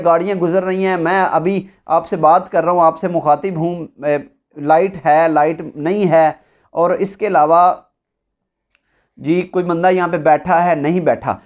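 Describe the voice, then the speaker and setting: Man, Pakistani accent, law lecturer, deliberate explanatory delivery, repeats points, muffled lo-fi audio. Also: sits high, darkish tone. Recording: dull lo-fi sound.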